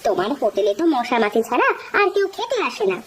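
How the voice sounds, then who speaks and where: A woman voicing a sharp-tongued but caring village wife in a cartoon. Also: sits high, very animated, quick pace, slight background noise, heavily acted. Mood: scolding. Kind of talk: cartoon voice acting.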